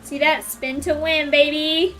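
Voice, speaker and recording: Female streamer, high-pitched, bright forceful tone, casual gameplay chatter, playful, teasing, light background music. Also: flat delivery, a little slow. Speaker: game streamer. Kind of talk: casual live-stream chat over gameplay.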